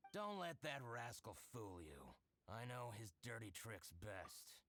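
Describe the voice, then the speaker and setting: An actor playing a sly, fawning merchant in a video game, with a theatrical, sing-song delivery. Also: male voice, mid-range voice, big pitch swings, unhurried, gravelly, a bit bright, heavily acted. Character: fawning, sly middle-aged male merchant.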